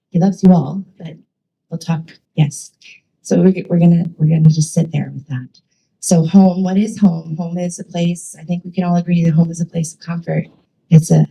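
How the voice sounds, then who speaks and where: A woman's voice: on the low side, gravelly, warm tone, slightly rough, rough recording. A woman leading youth ministry, giving a chatty, enthusiastic talk to a church with many fillers.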